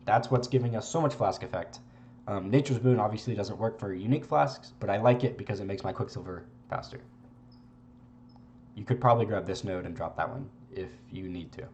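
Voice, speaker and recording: A congested young man talks through a video game build in a loose, rambling way, swearing now and then. His voice sits low, and faint room sound is behind him. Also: fairly quick, muffled recording, a little hiss or hum. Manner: relaxed, rambling, swears casually. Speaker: game streamer. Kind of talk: casual gameplay commentary.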